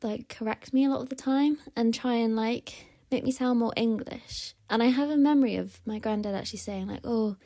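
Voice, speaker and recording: A young woman from South Wales talking in a soft, reflective, hesitant way during a recorded interview. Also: fairly high pitch, brisk, hardly any pauses.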